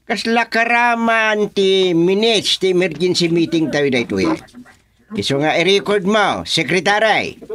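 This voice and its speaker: A man playing an authoritative village chairman in a radio comedy drama, speaking with an Ilocano accent in a commanding, deliberate manner. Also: a little high, a bit bright. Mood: firm, commanding. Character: authoritative village chairman presiding over a dispute.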